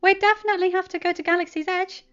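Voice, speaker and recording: An eager teenage boy as a woman narrator plays him, pitched high and loud with bubbling excitement. He is an affectionate storybook kid, heard on a slightly muffled recording. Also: fast talker.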